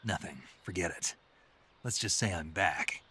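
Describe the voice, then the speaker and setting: A gruff male special-ops soldier in a game cutscene. His voice sits very low yet has a bright, forceful edge. He speaks in short, clipped, murmured lines. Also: measured pace, exaggerated voice. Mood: terse. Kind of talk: video game cutscene acting.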